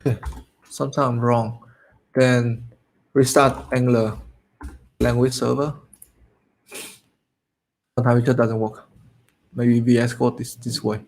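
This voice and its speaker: A man whose first language is Vietnamese, casually talking through his code as he writes it, muttering to himself with lots of fillers, his voice worn low from talking. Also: flat delivery, unhurried, long pauses, darkish tone, poor audio. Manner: thinks aloud, muttering to himself with frequent fillers. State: voice getting low and tired. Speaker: coding tutorial host. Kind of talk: casual live coding walkthrough.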